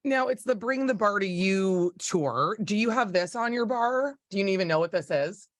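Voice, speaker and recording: A woman from Canada interviewing a guest, bubbly and quick to laugh. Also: mid-range voice, expressive, dry recording, studio quality. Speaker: radio interviewer. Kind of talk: casual interview chat.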